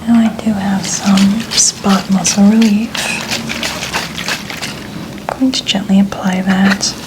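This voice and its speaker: Female, soft-spoken ASMR medical examiner. Breathy near-whisper, gentle, step-by-step delivery, audible ambient noise. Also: medium pitch, level tone. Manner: gentle, unhurried, explains each step. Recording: clear ambient background noise.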